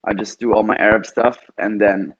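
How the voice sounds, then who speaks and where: A man's voice: lowish voice, steady tone, normal speed. A young man from Italy talking casually as a student guest, hesitant and full of fillers. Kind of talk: casual conversation. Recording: dull lo-fi audio.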